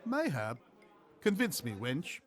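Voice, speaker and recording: A man performs a lustful sailor in an audio drama. He talks in terse, suggestive old-fashioned phrases, and his pitch swings widely as he haggles. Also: huge pitch swings, takes it slowly, full character voice. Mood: lustful, curt. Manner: terse, suggestive, archaic speech. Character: lustful, blunt male sailor haggling in a tavern. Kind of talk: audio play acting with archaic English.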